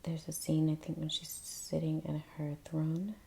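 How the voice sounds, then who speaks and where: Soft whispery asmr lady, kinda flat n creaky, halting casual chat, takes her time. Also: sits low. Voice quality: breathy, often whispery. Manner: hushed, halting, unhurried. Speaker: ASMR artist hosting a painting session. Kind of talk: soft-spoken ASMR, casual musing while painting.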